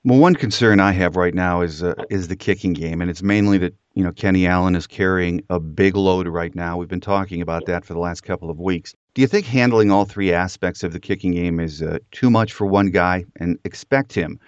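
American guy hosting a football podcast, low voice, asking questions, dry close sound. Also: fairly quick, hardly any pauses, smooth, no room sound, lo-fi sound. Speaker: podcast host. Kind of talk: sports interview.

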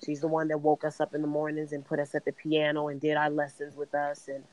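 A middle-aged woman from Chicago with a raspy voice, a singer answering interview questions in an earnest, measured way. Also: low voice, monotone, a little mellow, low-quality recording. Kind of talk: podcast interview.

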